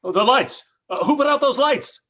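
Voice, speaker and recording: A man plays an anguished father in an old-time radio horror drama. His high, husky voice swings widely as he stammers through agitated, guilt-ridden pleas. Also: lively intonation, moderate pace, fairly bright tone, heavily acted. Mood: panicked. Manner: agitated, stammering, breathy exclamations. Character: desperate, guilt-stricken father searching for his abducted daughter.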